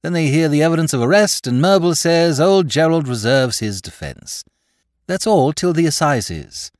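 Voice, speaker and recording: A man voicing a jovial, glib upper-class gentleman who chatters in chummy slang. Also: fairly high pitch, animated, normal speed, no room sound, clean studio sound, pitched above the performer's own voice, natural voice. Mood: breezy.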